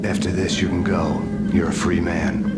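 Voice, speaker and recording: An actor voicing a grim, fatalistic man in a video game, brooding and deadpan in hard-boiled noir style, with loud music behind him. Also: sits low, normal speed, natural voice. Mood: terse, firm.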